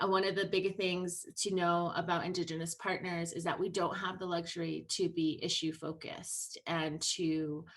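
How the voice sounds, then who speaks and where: Woman in her 30s presenting over a laptop mic, lowish voice, lots of ums, earnest. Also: fairly bright tone. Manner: earnest, many ums, loose and conversational. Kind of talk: online presentation talk. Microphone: laptop mic on video call.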